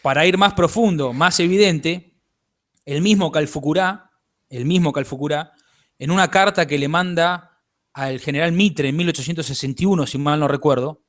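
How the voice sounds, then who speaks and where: A man's voice: a little high, normal speed. Argentine man explaining in a lecturing tone. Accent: Argentine Spanish. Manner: explanatory, lecturing, checks in with "¿bien?". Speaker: author guest. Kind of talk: interview.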